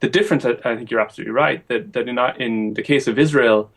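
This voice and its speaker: A young adult man answering as a guest historian in an interview, measured and thinking aloud with frequent ums. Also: mid-range voice, vocal fry, husky.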